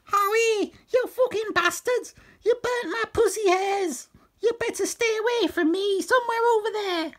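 Shrill, scolding streetwalker. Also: female voice, moderate pace. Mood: outraged. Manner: crude, scolding outbursts. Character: foul-mouthed, indignant female streetwalker, shrill and scolding.

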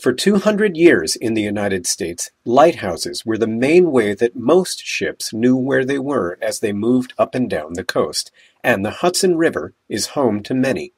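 A man narrates a documentary in a calm, measured way, like a storyteller. His voice sits in a middle pitch and has a slightly husky edge. It is a clean voice-over recording.

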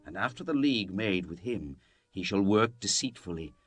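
A man narrating the Bible as a dramatized scripture reading, in a solemn, measured, declamatory manner. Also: lowish voice, lively intonation. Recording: music bed under the voice. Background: background music.

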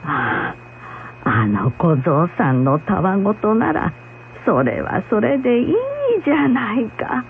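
A woman playing a frightened blind old village woman in a folktale radio drama, pleading in a halting, urgent way. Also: medium pitch, very animated, creaky. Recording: muffled lo-fi with hum and noise.

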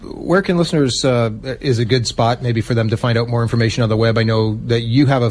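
A man's voice: mid-range voice, steady tone, fast, darkish tone. A man hosting a casual radio interview. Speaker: radio host.

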